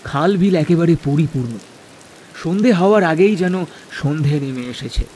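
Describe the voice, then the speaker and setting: A man's voice: fairly high pitch, lively intonation, normal speed, darkish tone, a little hiss or hum. A man narrating a scripted horror cartoon story.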